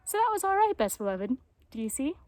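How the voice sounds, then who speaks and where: A woman reading a children's story aloud as a storyteller, in an expressive narrating style. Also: high voice, a little fast, no room sound.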